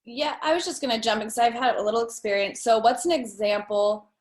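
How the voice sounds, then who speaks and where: A woman's voice: a little high, a little fast. Casual woman host in an echoey room. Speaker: event host. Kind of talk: casual chat. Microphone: video call. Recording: roomy, echoing video call.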